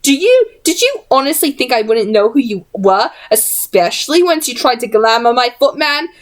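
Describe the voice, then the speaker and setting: A woman voicing a sly, dreadfully cheerful gentleman who clearly knows far more than he lets on. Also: a little high, very animated, unhurried.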